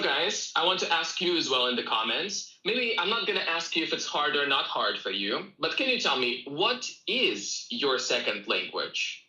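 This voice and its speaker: A man's voice: mid-range voice, bright, forceful tone, reverberant, low-quality recording. A young man from Russia with a Russian accent, co-hosting a casual chat and repeating his phrases as he talks to viewers. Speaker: co-host.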